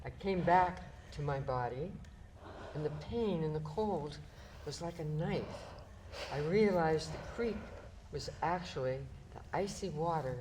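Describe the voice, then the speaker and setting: A woman volunteer from the audience reading a first-person story aloud in a steady way, recorded in a live room. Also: sits low, a little slow, noisy background.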